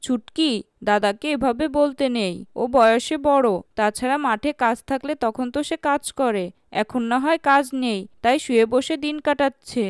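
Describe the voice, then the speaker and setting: A woman voicing a patient, gently chiding village mother in a cartoon. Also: sits high, normal speed, clean tone, no echo, clean studio sound, natural voice. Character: patient village mother scolding her children. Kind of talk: cartoon dubbing.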